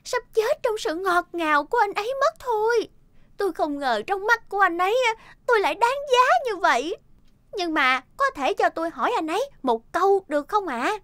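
A woman voicing a coy, smitten young girlfriend in a comedy cartoon, giggly and drawn-out. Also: very high-pitched, lively intonation, a bit bright, no echo. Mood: bashful delight. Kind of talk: animated comedy cartoon dub.

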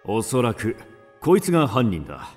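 An actor playing a businesslike police detective in a radio drama, terse and authoritative, with clipped, deliberate delivery. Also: male voice, medium pitch, very animated, soft background music, lightly acted. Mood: stern, clinical.

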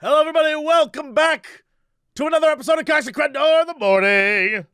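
A man co-hosting a casual podcast, hoarse from losing his voice, joking around and breaking into silly sung bits. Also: extremely high pitch, quick pace, faint music behind. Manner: goofy, sings and shouts bits, jokey asides. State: hoarse, lost voice. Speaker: podcast co-host.

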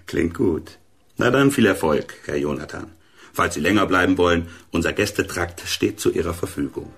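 An actor playing an affable, busy military press officer in a radio drama, clipped and obliging. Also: male voice, low-pitched, moderate pace, a bit bright, breathy edge, heavily acted.

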